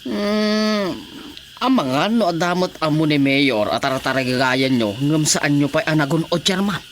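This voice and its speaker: An actor playing an eager, flattering Filipino village councilor in a comedic radio drama, exclamatory and coaxing. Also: male voice, a little high, normal speed, lightly acted. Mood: coaxing, teasing. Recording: faint background noise.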